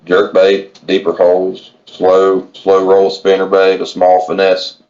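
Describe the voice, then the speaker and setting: A man's voice: low voice, completely flat delivery, slow pace, darkish tone, highs cut off. An American man chatting casually as an angler guest in an interview, laid-back and plain-spoken, over a remote call. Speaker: podcast guest, tournament kayak angler.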